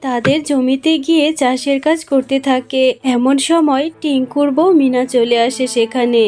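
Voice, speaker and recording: A woman's voice: high voice, fairly even intonation, moderate pace, a little mellow. A woman reading scripted narration for a cartoon story as its narrator.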